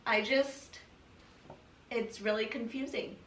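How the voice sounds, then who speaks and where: Bright-voiced American woman, sweary and casual. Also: a little high, takes it slowly, a little hiss or hum. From California, United States. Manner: informal, sweary, frequent "like" and "you know". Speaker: podcast guest sharing her personal story. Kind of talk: candid casual conversation. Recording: roomy echo, distant from the mic.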